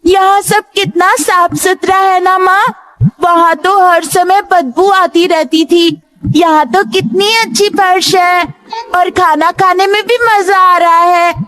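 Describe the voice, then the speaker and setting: A woman voicing an innocent, excitable young daughter in a cartoon moral story, speaking in an earnest childlike way. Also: very high-pitched, takes it slowly, exaggerated voice. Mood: happy, innocent. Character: innocent excitable little daughter of a poor family. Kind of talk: Hindi animated moral story dialogue. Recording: music behind the voice.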